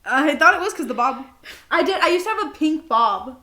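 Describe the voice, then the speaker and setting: A young woman with a high voice chats casually as a podcast co-host. She is goofy and giggly, happy to poke fun at herself. The sound is roomy and echoey. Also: animated, a little fast, a crowd in the background. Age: young adult. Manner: giggly, goofy, self-mocking. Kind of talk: casual chat between best friends, with bits of journal reading.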